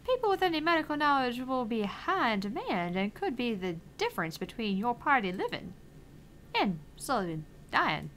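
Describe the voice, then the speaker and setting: A woman's voice: fairly high pitch, big pitch swings, moderate pace, a bit bright, slight background noise. A woman voicing an eccentric, earnest older female arcade owner, theatrical and probing as she reads visual novel dialogue. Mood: matter-of-fact.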